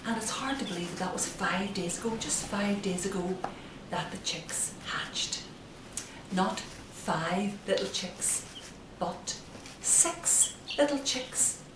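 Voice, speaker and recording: A middle-aged woman speaks to young children as a storyteller, slowly and deliberately, with gentle sing-song phrasing. Her voice is picked up from a distance in an echoey room, with some noise behind it. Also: mid-range voice, lively intonation. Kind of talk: slow, simple narration for young children. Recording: roomy, noisy, distant microphone.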